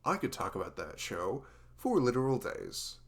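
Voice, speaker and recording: A man's voice: mid-range voice, big pitch swings, moderate pace. A man playing a dorky, nervous young best friend in a conversational ASMR roleplay, rambling and stammering with self-deprecating humor. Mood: enthusiastic, rambling.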